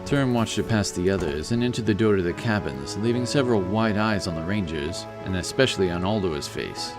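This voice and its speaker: A man's voice: deep voice, lively intonation, a little fast. A man reading scripted fantasy narration as a storyteller, with music behind his voice.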